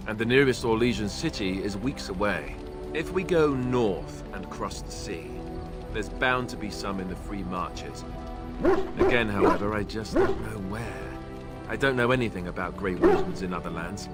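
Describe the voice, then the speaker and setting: A man plays a young ex-templar warrior in a fantasy role-playing game, with a fairly low voice and a dry, self-deprecating wit. Game music plays clearly behind his lines. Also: close to an everyday voice. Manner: wry, self-deprecating humor. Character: young male warrior, former templar trainee, wry and self-mocking. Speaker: companion character in a video game. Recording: game audio over background music. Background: game soundtrack music.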